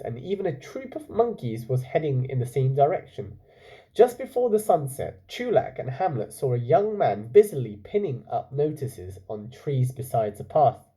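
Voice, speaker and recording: A man reads a children's story in a warm, relaxed tone with lots of rise and fall. He tells it expressively and steps out of the tale to put questions to his young listeners. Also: medium pitch, rough recording. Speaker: teacher reading a story aloud to children.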